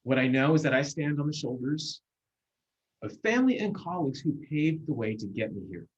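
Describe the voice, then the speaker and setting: Husky man on a muffled call. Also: fairly high pitch, big pitch swings. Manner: earnest, measured, many fillers and restarts. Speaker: guest speaker. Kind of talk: video call lecture and Q&A. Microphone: video call. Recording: muffled video call audio.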